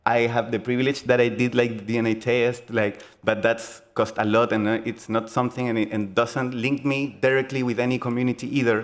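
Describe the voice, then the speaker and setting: A young man with a Chilean accent presents his game design research at a conference. He speaks earnestly and haltingly, with frequent fillers and self-corrections, at a middling pitch.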